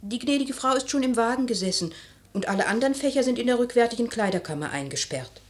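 A woman's voice: fairly high pitch, lively intonation, fast talker, close to an everyday voice. A woman playing a polite, anxious lady's maid in a German literary radio play. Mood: deferential, anxious.

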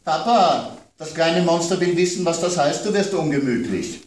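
A man's voice: a little high, expressive, darkish tone, voice pushed up, natural voice. A man voicing a small, stubborn little girl, stretching her pleading words out long. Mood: giggly. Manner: pleading, drawn-out childlike lines. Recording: roomy, distant, faint room noise.

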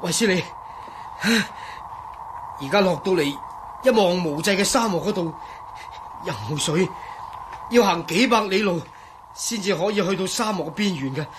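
Timid, very high-pitched panicky companion. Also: male voice, a little slow, pauses often, background noise. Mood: fearful. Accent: Hong Kong Cantonese. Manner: stammering, whining, fearful. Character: timid, panicky male companion afraid of heights. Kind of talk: Cantonese radio drama acting.

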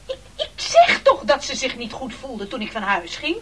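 High-voiced, timid mummy's boy. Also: huge pitch swings, moderate pace, loud music behind the voice, exaggerated voice. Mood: timid protest. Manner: meek, stammering, apologetic. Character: timid, childish mummy's boy castaway, anxious victim. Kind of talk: absurdist comic radio play acting. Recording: noisy old radio recording.

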